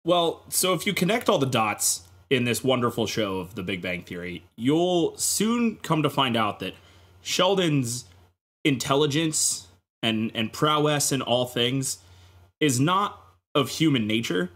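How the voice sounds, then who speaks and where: Male podcast panelist, husky and very animated, delivering tongue-in-cheek theories with dramatic emphasis. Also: medium pitch, unhurried. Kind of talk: comic mock-serious theorizing.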